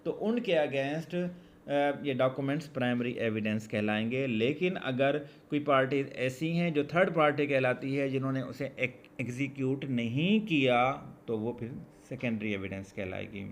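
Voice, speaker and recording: A man with a Pakistani accent giving a law lesson, reading out formal text and explaining it deliberately, repeating key phrases. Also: medium pitch, a little hiss or hum. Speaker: law lecturer.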